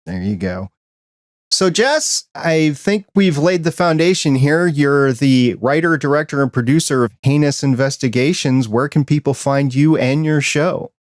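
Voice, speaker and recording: A man hosting a podcast interview, asking questions in a relaxed, conversational way. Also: a little high, a little slow, no room sound, studio quality. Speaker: podcast host. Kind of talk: casual interview.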